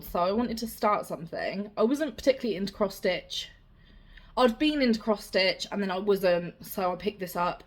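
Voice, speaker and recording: A young woman talks in a relaxed, rambling vlog manner. Her voice carries a slight rasp. She sounds self-deprecating and easygoing. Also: mid-range voice. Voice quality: slightly raspy. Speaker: cross-stitch vlogger. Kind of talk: casual show-and-tell chat.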